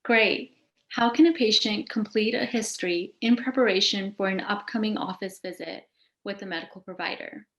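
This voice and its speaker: Casting: female educational show host, scripted delivery, mid-pitched voice, echoey recording. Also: normal speed, fairly bright tone. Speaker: host of a patient advocacy show. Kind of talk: scripted presentation with question prompts. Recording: echoey room sound.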